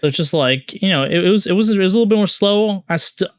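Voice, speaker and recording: A man co-hosting a podcast in casual, slangy chat, talking in a halting, rambling way and often repeating himself. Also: high voice, expressive, clean tone, no echo, clean studio sound. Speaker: podcast co-host.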